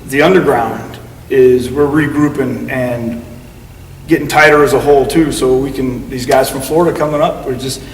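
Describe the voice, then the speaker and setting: A man's voice: mid-range voice, fairly even intonation, moderate pace, far from the mic. Rambling man in an echoey room. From United States. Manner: rambling, full of fillers and acted-out quotes. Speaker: guest wrestler. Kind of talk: casual interview storytelling. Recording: echoey room heard from afar with faint noise.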